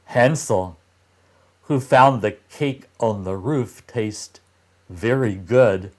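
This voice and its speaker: A man reading a fairy tale aloud for young children in deliberate phrases, with frequent pauses and expressive emphasis. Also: fairly low pitch.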